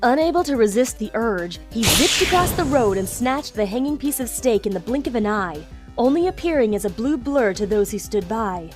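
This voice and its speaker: A woman with a fairly high voice narrates an adventure story from a script, as the narrator of an audio drama. Music plays behind her. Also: expressive, moderate pace.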